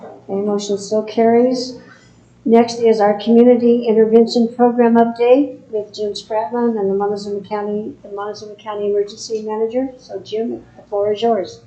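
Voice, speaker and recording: Brief: female mayor running a board meeting, mellow and flat, echoey room, dull lo-fi recording. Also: on the high side, normal speed. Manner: steady, procedural, reads agenda items. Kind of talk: formal meeting procedure.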